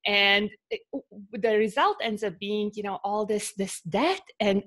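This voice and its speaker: A woman's voice: fairly high pitch, fairly bright tone. A woman from Bosnia with a Bosnian accent, a podcast guest talking through her ideas with many fillers and restarts. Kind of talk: casual interview talk.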